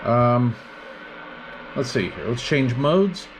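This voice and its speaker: A man's voice: medium pitch, big pitch swings, slow, background noise. A man reviewing radio equipment in a casual, hands-on demonstration, explaining things step by step without hurry.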